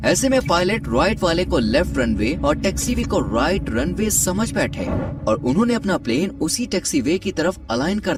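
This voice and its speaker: A man delivering scripted, dramatic documentary narration. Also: on the high side. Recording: distant-sounding voice over background music. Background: music.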